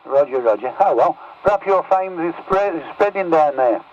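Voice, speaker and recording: A man's voice: on the high side, lively intonation, normal speed. A man, a radio operator, chatting casually over a CB radio transmission. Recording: muffled, very noisy CB radio.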